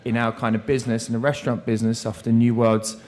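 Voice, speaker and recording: A man's voice: mid-range voice, flat delivery, fast, darkish tone, slight background noise. A man who runs restaurants, speaking earnestly on a seminar panel about his staff and wine sales, with a few restarts. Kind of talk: panel discussion. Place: seminar room.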